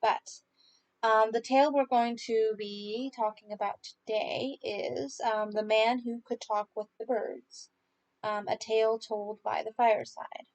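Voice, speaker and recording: Female storyteller, slightly high voice, unhurried and halting, reads folk tales with casual asides. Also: steady tone, a little mellow, rough recording. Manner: halting, stumbling over unfamiliar dialect words. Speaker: podcast host and story reader. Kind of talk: reading folk tales aloud with informal asides.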